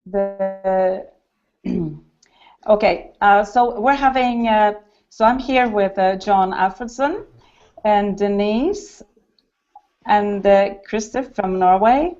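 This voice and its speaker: A woman's voice: medium pitch, slow pace, a little mellow. A woman from Sweden opening an online hangout, hesitant and full of fillers. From Stockholm, Sweden. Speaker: hangout host. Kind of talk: casual video call talk. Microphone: video call. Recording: dull lo-fi call audio.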